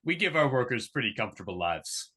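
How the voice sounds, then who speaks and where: A man's voice: mid-range voice, very animated, brisk, pushed and bright, husky, exaggerated voice. A man reading the part of an awkward, privileged young heir in a drama table read, talking in a casual, rambling way. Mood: defensive.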